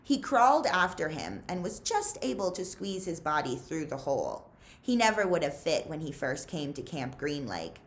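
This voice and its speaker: Animated, low-voiced woman reading a story aloud. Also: moderate pace. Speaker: librarian reading aloud to children. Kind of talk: expressive read-aloud storytelling, pausing to ask listeners questions.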